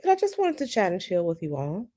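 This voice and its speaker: A woman with a slightly raspy morning voice, chatting casually with viewers on a live stream from home and greeting them by name. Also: mid-range voice, wildly swooping pitch, fast talker, warm tone. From United States. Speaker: tarot channel host. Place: at home, bedroom.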